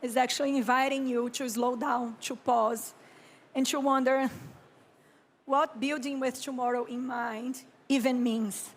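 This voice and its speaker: A Brazilian woman in her thirties, speaking English with a Brazilian Portuguese accent, gives a slow, reflective conference talk as an architect and urban planner, often clearing her throat. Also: sits high, fairly even intonation. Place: conference stage.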